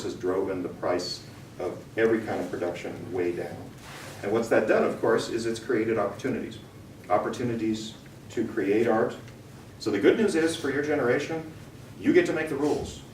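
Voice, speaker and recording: A middle-aged man giving an informal, conversational and emphatic talk to students. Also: fairly low pitch. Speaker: guest speaker, radio theater company owner. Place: room, talk to an audience of students. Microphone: distant from the speaker. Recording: roomy, distant mic with background noise.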